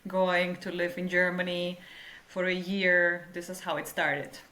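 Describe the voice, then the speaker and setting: A young woman from Lithuania with a Lithuanian accent, a podcast guest speaking slowly with halting non-native grammar. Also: on the low side, completely flat delivery, bright, forceful tone, echoey room. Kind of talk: casual interview.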